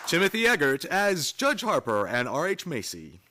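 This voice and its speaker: A man's voice: on the high side, huge pitch swings, normal speed, noisy background. A man reading scripted credits as a radio announcer, recorded live in a theater. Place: theater stage, live performance.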